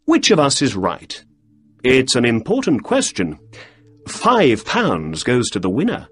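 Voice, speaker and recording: A man's voice: medium pitch, huge pitch swings, measured pace, a bit bright, voice pushed up. A man voicing a keen, polite male detective who asks probing questions.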